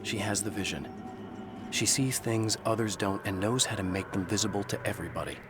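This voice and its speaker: A man's voice: lowish voice, fairly even intonation, a little fast, noisy background, natural voice. An actor voicing a weary male writer, narrating in a brooding, introspective way. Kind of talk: scripted reflective narration.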